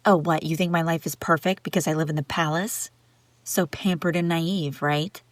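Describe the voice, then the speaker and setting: A woman's voice: sits low, steady tone, moderate pace, dry recording, close to an everyday voice. A woman playing a haughty, bratty young runaway princess in a roleplay audio drama, sarcastic and bickering with the listener. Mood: irritated, defensive.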